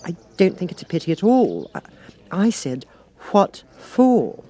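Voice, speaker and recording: A man's voice: sits high, wildly swooping pitch, measured pace, warm tone, pitched above the performer's own voice, heavily acted. A man voicing a polite, curious and plucky little girl from a storybook, with a decided manner. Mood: polite, bold.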